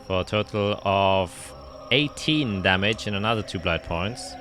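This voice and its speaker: A man's voice: fairly low pitch, a little slow, fairly bright tone, soft background music. A man narrating a tabletop roleplaying game as game master, describing scenes and calling out dice results.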